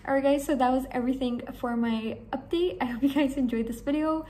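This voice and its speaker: A young woman with a hoarse voice, chatting enthusiastically to camera in a small room about her beauty products. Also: high voice, fairly even intonation, a little fast, mellow, slight background noise. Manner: chatty, enthusiastic, rambling with fillers. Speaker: beauty vlogger. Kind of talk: casual talk to camera.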